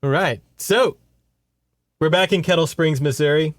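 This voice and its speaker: A man's voice: on the high side, measured pace, no echo, clean studio sound. A man co-hosting a podcast, recapping a book in a rambling, conversational way with sardonic asides. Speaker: podcast co-host. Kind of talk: casual book recap chat.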